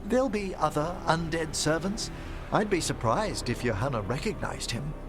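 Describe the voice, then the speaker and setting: An actor playing a refined, scholarly older necromancer in a video game, eloquent and formal, courteous but anxious. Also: male voice, a little high, expressive, moderate pace, natural voice. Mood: eager, purposeful. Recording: music behind the voice.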